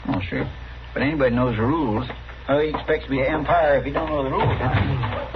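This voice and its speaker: An American actor with a rural drawl playing a naive, eager marshal's helper in a radio western, deferential and rambling. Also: male voice, mid-range voice, brisk, close to an everyday voice. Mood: grumbling, protesting. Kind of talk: 1950s radio western acting. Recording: muffled old radio recording, loud hiss.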